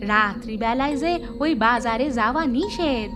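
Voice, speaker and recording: A woman's voice: fairly high pitch, expressive, unhurried, music in the background. A woman playing an earnest, deferential village local who gives warnings in a horror cartoon. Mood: worried warning.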